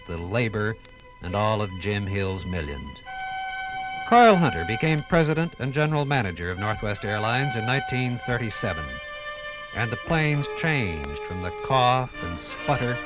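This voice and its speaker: An American man narrates in the grand style of 1950s radio documentary. His delivery is oratorical and theatrical, shaped by dramatic pauses, with music playing clearly behind him. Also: lowish voice, poor audio.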